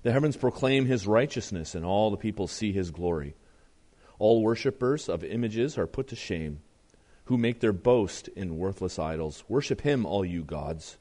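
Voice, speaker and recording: Guy preaching, voice kinda strained and deeper than normal, earnest. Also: animated, moderate pace, warm tone. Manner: earnest, reads scripture with rising emphasis. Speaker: pastor. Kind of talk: sermon.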